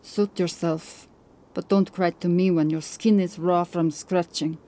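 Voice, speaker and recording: A woman's voice: on the low side, steady tone, a little slow, dark tone, close to an everyday voice. A woman voicing a bold, curious and boastful Viking warrior woman in an audiobook. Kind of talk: audiobook character acting.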